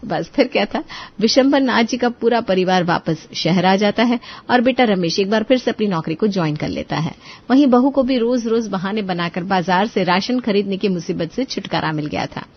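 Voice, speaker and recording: A woman's voice: medium pitch, expressive, normal speed, muffled recording. A woman narrator reading a scripted moral tale in storytelling style.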